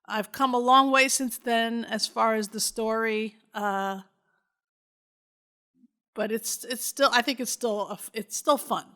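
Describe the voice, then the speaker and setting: A woman's voice: on the high side, fairly even intonation, a little slow, sharp tone. A woman hosting a podcast, speaking informally, in casual chat or reading her own script aloud. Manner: informal, self-deprecating, sweary. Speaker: podcast host.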